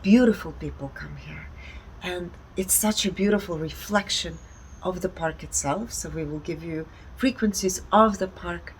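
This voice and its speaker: A woman giving a conversational, explanatory presentation in deliberate short phrases. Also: on the low side, poor audio. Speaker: speaker presenting energy readings. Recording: background ambient noise.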